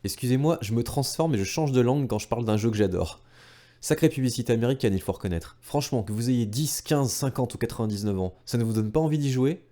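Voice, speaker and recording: A man's voice: mid-range voice, fast, mellow, faint music behind. A man narrating a retro gaming video from a script, enthusiastic and speaking directly to viewers with casual asides. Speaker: video creator and host.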